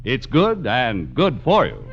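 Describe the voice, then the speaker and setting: Lively-pitched male vintage radio announcer. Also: takes it slowly, creaky, faint music behind. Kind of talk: 1950s radio advertising read. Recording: muffled old radio recording.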